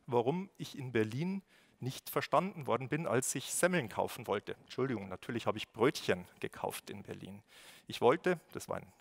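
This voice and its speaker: A man in his forties with a Bavarian accent delivers a formal welcome address from a lectern. His pitch sits in the middle. He reads at a measured pace, with the occasional hesitation. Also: creaky. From Lower Bavaria. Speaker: city education official giving a welcome speech. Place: stage at a lectern, indoors. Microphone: lectern microphone.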